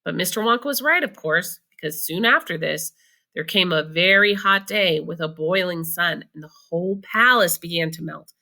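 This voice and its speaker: A woman reading a children's book aloud in an expressive narrating style. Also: lowish voice, moderate pace, creaky. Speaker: storybook reader.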